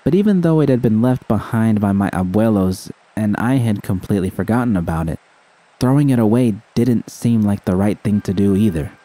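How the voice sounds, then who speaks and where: A man's voice: lowish voice, a little fast, dark tone, rough recording. A man reading a scripted first-person story as narration. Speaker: audiobook reader of fan fiction.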